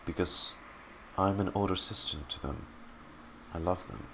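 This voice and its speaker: A man who streams games, reading in-game text aloud dramatically and haltingly and muttering his reactions. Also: deep voice, a bit bright, slight background noise, rough recording.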